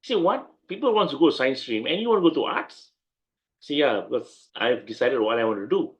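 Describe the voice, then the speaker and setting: A middle-aged Malaysian man speaks in an emphatic, conversational manner and repeats his key points. He has an ordinary-pitched voice, and the recording sounds a bit dull and muffled. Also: expressive, a little fast. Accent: Malaysian English. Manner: emphatic, repeats points, laughs while recalling. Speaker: guest lawyer. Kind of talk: conversational interview answers.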